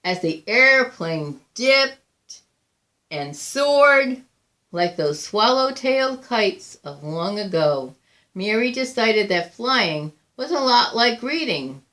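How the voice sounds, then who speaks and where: Slow, expressive woman reading a storybook. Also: fairly high pitch. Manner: deliberate, expressive, with drawn-out emphasis and pauses. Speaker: volunteer picture book reader. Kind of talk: read-aloud storytelling of a children's picture book.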